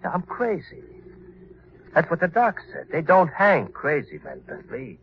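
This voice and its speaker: A man's voice: fairly high pitch, huge pitch swings, heavily acted. A crazed, vengeful gangster from an old radio thriller. His voice swings wildly as he taunts and gloats. The sound is dull and lo-fi, with a faint hiss. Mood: bitter, menacing. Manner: taunting, drawn-out gloating with maniacal laughter. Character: unhinged, vengeful gangster feigning death, gloating killer. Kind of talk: old radio crime drama acting.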